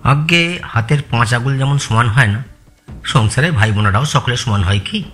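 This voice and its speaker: A man's voice: mid-range voice, normal speed, fairly bright tone, faint music behind, natural voice. An actor playing a loyal male family employee in an audio story, answering politely, hesitantly and with guarded deference. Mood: reflective, cautious. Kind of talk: audio story dramatised dialogue.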